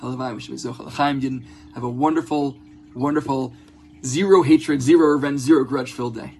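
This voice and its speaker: A man's voice: medium pitch, steady tone, a little fast, darkish tone, slightly rough. A man teaching an informal religious lesson in an earnest, conversational way, repeating phrases and checking in with listeners.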